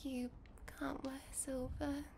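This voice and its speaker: A woman playing a sweet, shy young vampire girlfriend in an intimate ASMR roleplay, whispering softly and hesitantly. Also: high voice, little pitch movement, takes it slowly, slight background noise, exaggerated voice. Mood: hesitant, caring. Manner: soft, breathy whispering, hesitant and giggly. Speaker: roleplay voice performer.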